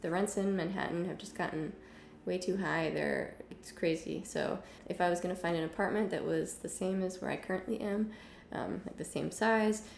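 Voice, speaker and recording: A young woman teaching a casual music lesson at home, hoarse from illness, chatty with many ums and the odd cough. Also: fairly low pitch, fairly even intonation, moderate pace, hardly any pauses, darkish tone, echoey room, a little hiss or hum. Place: home room with piano and guitars.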